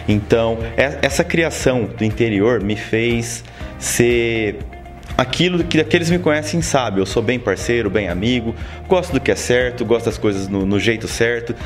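A man's voice: medium pitch, normal speed, soft background music. A man in his forties from Brazil with an interior São Paulo accent, telling stories as a guest in a studio interview. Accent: interior São Paulo Brazilian Portuguese. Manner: storytelling, lively, many "sabe?" tags. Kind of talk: casual interview talk. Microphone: handheld mic held by interviewer.

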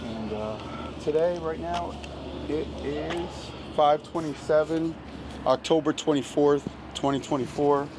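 An American man with a slightly raspy voice from a recent illness, chatting casually and informally as he hosts a walking vlog into a handheld microphone. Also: on the high side, a little slow. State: getting over a sickness. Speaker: vlogger hosting a walking tour. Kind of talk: casual rambling vlog chat. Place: outdoors on a city street. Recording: noisy outdoor street ambience.

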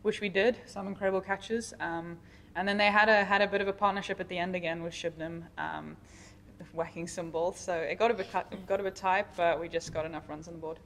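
Young woman cricketer doing post-game chat, lowish voice, bit of room noise. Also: steady tone, a little fast, fairly bright tone. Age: young adult. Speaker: cricket player at post-match press conference. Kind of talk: casual post-match interview answers. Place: indoor press conference room.

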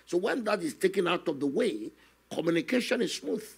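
An older man, a guest minister telling his testimony slowly and earnestly in a studio interview, often adding "you know". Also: sits high, sing-song. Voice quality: gravelly, husky. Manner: slow, earnest storytelling with many "you know" asides. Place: television studio, seated on a set. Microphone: stand microphone in front of him.

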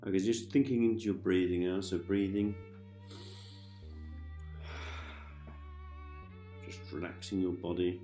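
A man guiding a sleep hypnosis session in a calm, gentle manner, giving repetitive soothing instructions with pauses. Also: sits low, roomy sound, faint music behind. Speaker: hypnosis host, meditation guide.

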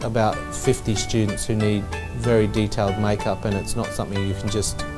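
A man's voice: lowish voice, fairly quick. A man who teaches drama at a school, explaining the production plainly with some fillers. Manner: matter-of-fact, steady with fillers. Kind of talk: interview explanation. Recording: music playing behind.

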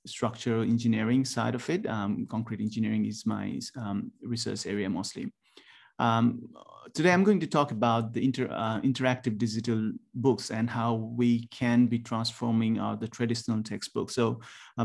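A man from Nepal in his forties, a university lecturer giving a presentation over a video call, talking haltingly with many ums and restarts. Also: medium pitch, gravelly, darkish tone. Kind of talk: webinar presentation.

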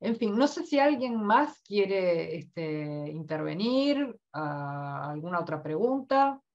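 A woman's voice: on the low side, big pitch swings, slow pace, darkish tone. Woman, moderator, video call, reflective, fillers, muffled lo-fi sound. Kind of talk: moderating academic discussion.

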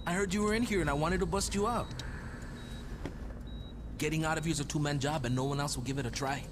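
Game inmate, male actor: young, eager, loyal, persuasive, lightly high voice, clear noise behind. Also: fast talker, lightly acted. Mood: eager, pleading. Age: young adult. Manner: earnest, persuasive. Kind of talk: video game cutscene acting.

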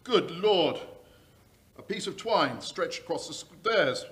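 Casting: actor as baffled lord and husband, old radio drama, slightly high male voice. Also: lively intonation, takes it slowly, fairly bright tone. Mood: bewildered, shocked. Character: bewildered, shocked titled gentleman husband. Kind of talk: 1940s radio acting.

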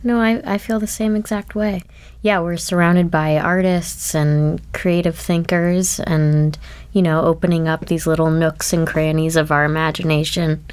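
A woman's voice: on the low side, level tone, moderate pace, a little mellow, clear, resonant tone, dry recording. A woman guest chatting casually in a panel conversation.

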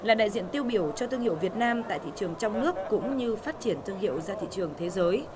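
A woman narrating a television news report in a steady, formal reading voice. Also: mid-range voice, fast, crowd noise. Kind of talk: scripted news voice-over.

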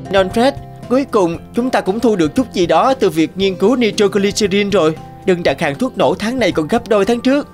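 An actor voicing an eager, loyal young man in an animated drama, speaking earnestly. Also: high-pitched, fairly quick, faint music behind. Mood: pleased.

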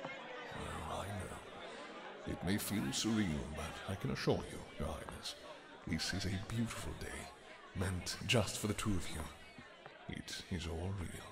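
A man performs a royal attendant in a fantasy roleplay. He is deep-voiced and unhurried, courteous and reassuring. A noisy crowd murmurs behind him. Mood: reassuring, deferential. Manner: deferential, measured, gentle.

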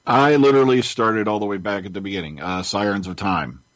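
A man's voice: fairly low pitch, a little fast, no room sound. A man chatting as a podcast panelist, telling stories with emphatic enthusiasm on muffled audio. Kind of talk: casual storytelling chat.

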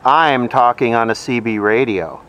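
A man giving casual, matter-of-fact commentary on a hands-on demonstration, unhurried, recorded outdoors. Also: mid-range voice, dull audio. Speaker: video host. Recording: loud wind and radio static noise. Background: wind noise, hissing radio.

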